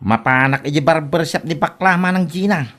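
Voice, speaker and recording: A woman's voice: low voice, a little fast, fairly bright tone. A woman playing a cheerful, smitten younger brother, dreamy and playful, in a comedic audio drama.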